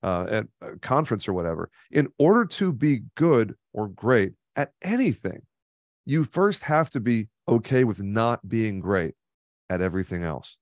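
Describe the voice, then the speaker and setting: Man, thirties, podcast guest on a studio mic: low, emphatic, coaching, dry close sound. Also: sing-song, normal speed, no room sound. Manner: emphatic, coaching, repeats key points. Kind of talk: conversational webinar interview. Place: home studio with neon sign. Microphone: studio mic on boom arm, headphones.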